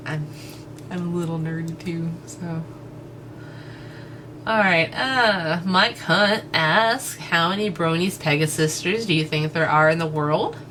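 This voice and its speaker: A woman with a thick East Texas accent, casually answering fan questions for her channel, relaxed and hesitant with lots of fillers. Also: fairly low pitch, unhurried, slight background noise. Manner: relaxed, hesitant, many fillers and small laughs. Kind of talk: casual Q&A chat.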